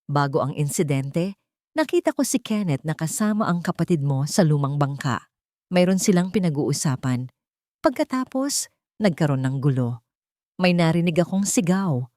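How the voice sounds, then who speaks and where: A woman's voice: sits low, big pitch swings, moderate pace, a little mellow, dry recording. Woman narrator doing a sad scared old man confessing in an audiobook drama, close dry sound. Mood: anguished. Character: grieving, frightened old man, a witness confessing.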